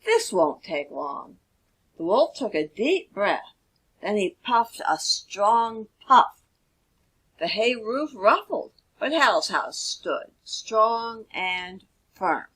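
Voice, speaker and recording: Slow, expressive woman storyteller with husky voice. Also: medium pitch, rough recording. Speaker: author reading own children's book.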